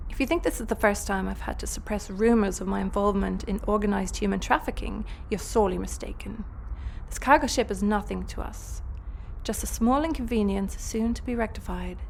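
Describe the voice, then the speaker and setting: Woman as creaky-voiced, icy space warlord. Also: medium pitch, a little hiss or hum, lightly acted. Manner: measured, formal, icy. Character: cold, commanding female villain warlord, calmly menacing. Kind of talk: sci-fi audio drama acting.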